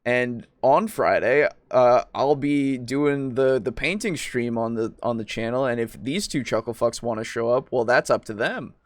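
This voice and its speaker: A man's voice: mid-range voice, normal speed, hardly any pauses, no room sound. A man hosting a podcast in casual chat, with a playful, jokey manner. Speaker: podcast host. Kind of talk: casual chat, reading out comments.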